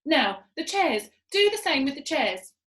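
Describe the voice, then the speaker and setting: A woman voicing a bossy, impatient monkey father in a storybook, shouting frantic orders. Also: sits high, sing-song, unhurried, echoey room, full character voice. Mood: urgent.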